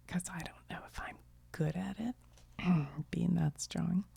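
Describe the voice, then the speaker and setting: Low gravelly whispering woman. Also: extremely slow, no room sound. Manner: gentle, chatty, laughs softly, trails off. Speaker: video creator. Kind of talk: soft-spoken ASMR whispering.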